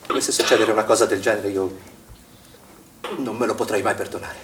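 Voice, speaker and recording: A man's voice: lowish voice, steady tone, fairly quick, fairly bright tone, natural voice. An actor playing an anxious, accusing father who is protective of his children, in an insistent stage-drama style. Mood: anxious, brooding. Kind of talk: stage theatre acting. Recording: echoey room, distant mic, background noise.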